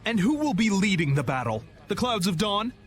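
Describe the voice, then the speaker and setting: An actor playing a scornful, disapproving rival guild leader in a video game. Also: male voice, sits high, big pitch swings, brisk, faint music behind.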